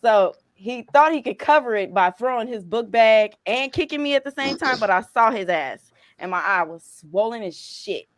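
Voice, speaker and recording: A profane, animated woman in her late thirties from Chicago tells a story on a casual podcast. Her voice sits a little high, and the sound is close and dry, with no room around it. She talks in a loose, conversational way. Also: normal speed, few pauses, no room sound. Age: 30s. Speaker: podcast co-host. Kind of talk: casual chat.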